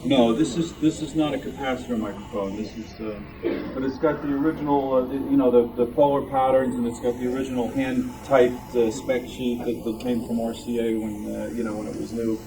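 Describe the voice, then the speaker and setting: A man giving an informal show-and-tell talk as a club member, with a halting, rambling delivery. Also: mid-range voice, steady tone, distant mic. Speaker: club member presenting vintage items. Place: club meeting room. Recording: noisy room, picked up from afar.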